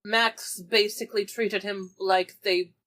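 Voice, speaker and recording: A woman's voice: medium pitch, steady tone, takes it slowly, a bit bright. A woman playing a tabletop roleplaying game, explaining things casually in character.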